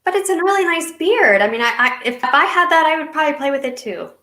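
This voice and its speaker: A woman's voice: high-pitched, sing-song, fast, pushed and bright, echoey room. A woman co-hosting an online talk show, chatty and giggly, a little nervous, with a slightly nasal voice. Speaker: co-host. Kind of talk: casual live-stream chat.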